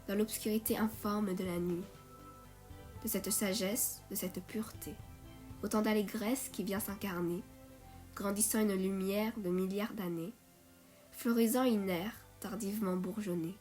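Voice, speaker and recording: A woman reciting poetry in a measured way, phrase by phrase. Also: medium pitch, darkish tone. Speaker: poet reading own poem. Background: music.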